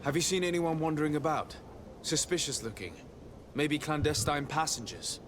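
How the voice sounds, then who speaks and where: A man's voice: a little high, normal speed, background noise, natural voice. A man playing a polite, composed young nobleman in a video game, speaking formally. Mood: inquiring. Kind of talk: video game dialogue acting.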